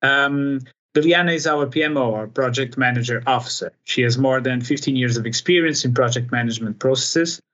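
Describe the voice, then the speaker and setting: A man's voice: mid-range voice, fairly quick, sharp tone, highs cut off. A man hosting a podcast, interviewing a guest in a conversational way. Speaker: podcast host.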